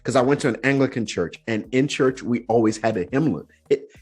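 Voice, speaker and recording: A Nigerian man hosting a podcast, telling a story in a relaxed, animated way and acting out the voices he quotes. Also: medium pitch, brisk, breathy edge, soft background music. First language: Yoruba. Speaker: podcast host. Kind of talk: casual storytelling chat.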